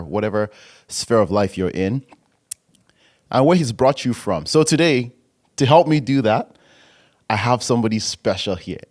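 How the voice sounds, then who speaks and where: A man hosting a church podcast, interviewing a guest in a relaxed way that is full of restarts and drawn-out phrases. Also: low-pitched. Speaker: podcast host.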